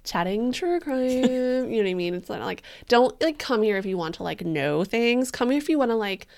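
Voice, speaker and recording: A woman's voice: on the high side, animated, moderate pace, gravelly, a little mellow. A young woman co-hosting a casual true crime podcast, joking around and peppering her speech with "like". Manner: bubbly, joking, heavy use of "like". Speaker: podcast co-host.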